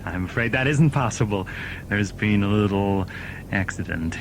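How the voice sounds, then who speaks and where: A man's voice: fairly low pitch, moderate pace, noisy background, lightly acted. An actor playing a polite, formal male train steward in an audio drama. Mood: smooth, evasive. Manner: formal, deferential.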